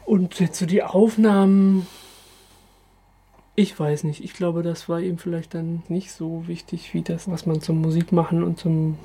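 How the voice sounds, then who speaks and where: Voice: mid-range voice, level tone, a little slow, dark tone, breathy edge. An interviewee recalling the past in a thoughtful, hedging way.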